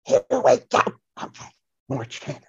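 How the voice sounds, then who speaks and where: A performer playing a flamboyant, gushing, scatterbrained celebrity psychic in a comic audio play, rambling and repeating phrases with theatrical drawn-out words. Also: a bit bright, no echo, close to an everyday voice. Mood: gushing, fussy. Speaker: podcast guest playing a psychic. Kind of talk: improvised comic audio play, podcast spoof.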